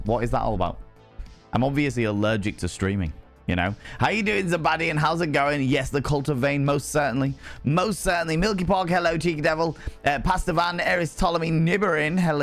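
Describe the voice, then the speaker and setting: A man hosting a livestream, hoarse after a day of shouting, greeting viewers with playful, theatrical flourishes in casual chat. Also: mid-range voice. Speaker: livestream host.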